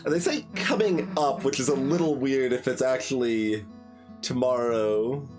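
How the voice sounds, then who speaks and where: Unhurried man musing over a game. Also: medium pitch, over music. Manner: musing, halting, thinking aloud. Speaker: livestreamer, game playthrough host. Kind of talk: casual game discussion and commentary, chatting with viewers.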